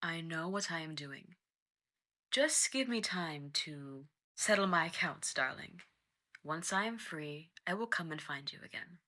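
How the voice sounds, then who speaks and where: A woman's voice: sits low, expressive, measured pace, sharp tone, full character voice. Proud, tormented demon man, voiced by a woman. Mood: suave, soothing. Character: proud, guarded, tormented male demon with suave facade.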